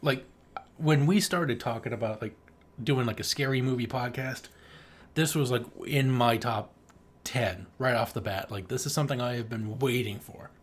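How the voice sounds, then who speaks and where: Male co-host, mid pitch, casual, opinionated, emphatic enthusiast. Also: normal speed. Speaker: podcast co-host. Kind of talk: casual opinionated movie chat.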